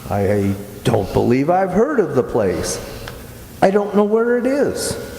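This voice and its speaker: A man's voice: a little high, wildly swooping pitch, takes it slowly, vocal fry, dark tone, exaggerated voice. A man playing a patient, fussy, mildly scolding straight man in an old-time radio comedy. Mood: politely patient. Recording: echoey hall, noisy background.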